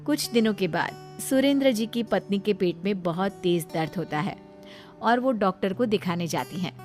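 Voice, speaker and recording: A woman's voice: medium pitch, expressive, moderate pace, a little mellow. A woman tells a story as a scripted narrator. Faint guitar-like music plays behind her voice.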